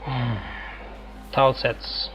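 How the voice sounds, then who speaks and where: A man's voice: mid-range voice, flat delivery, drawn-out words, dull audio. A man commentating casually on a video game, musing aloud in short, broken remarks. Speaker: gamer commentating on a playthrough. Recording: game music behind the voice. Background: loud video game music.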